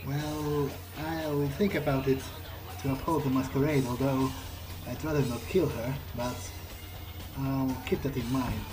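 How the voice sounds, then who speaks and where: A man's voice: mid-range voice, steady tone, unhurried, poor audio. A man talking casually and hesitantly in character as he plays through a roleplaying game. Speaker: player character in a roleplay playthrough. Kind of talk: in-character game dialogue, casual improvised speech. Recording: game music behind the voice. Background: video game music.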